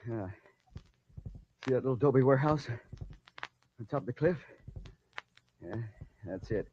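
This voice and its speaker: An actor playing a weary but steady sailor in an old-time radio drama, halting and strained with effort as he urges on a mate. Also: mid-range voice, natural voice. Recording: muffled, noisy old radio recording.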